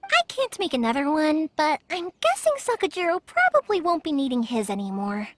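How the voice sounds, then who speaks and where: An actress playing a cheerful, lively young fireworks maker in a video game, talking in a playful, warm-hearted way. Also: female voice, high-pitched, fairly quick, slight background noise, exaggerated voice. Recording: game soundtrack music faint behind.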